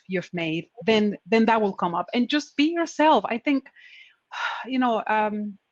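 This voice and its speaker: A woman's voice: on the high side, no echo, muffled recording. A woman panelist giving career advice in a conference Q&A, earnest and encouraging, often repeating her key points. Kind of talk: conference Q&A answers.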